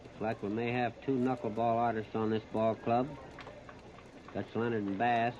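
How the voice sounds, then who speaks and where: A man's voice: medium pitch, little pitch movement, moderate pace. An American man calling baseball play-by-play on 1930s radio, plain-spoken and folksy, in short phrases. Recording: muffled, noisy 1930s radio disc recording.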